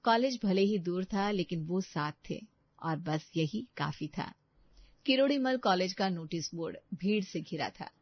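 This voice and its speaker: A woman's voice: fairly low pitch, animated, unhurried, no echo, highs cut off. A woman reading a scripted story as its narrator.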